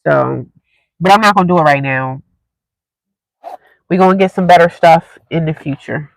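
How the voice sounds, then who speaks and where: A woman's voice: deep voice, moderate pace, creaky, no room sound, rough recording. A young woman, stuffed up and congested, chatting casually with viewers on a live stream through a cheap earphone mic. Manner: rambling, chatty, talks straight to viewers.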